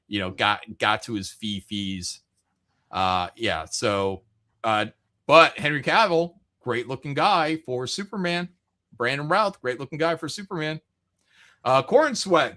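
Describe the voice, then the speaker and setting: A man's voice: medium pitch, pushed and bright. A middle-aged man talking casually and emphatically in an opinionated pop culture debate, swearing and repeating his points. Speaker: livestream host.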